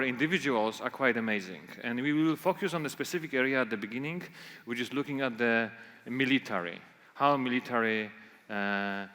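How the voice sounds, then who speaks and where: Polish-accented male speaker, mid-pitched, hesitant, lots of restarts, echoey conference hall. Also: fairly bright tone. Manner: halting, many fillers and restarts. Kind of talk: conference talk. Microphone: handheld or stage microphone.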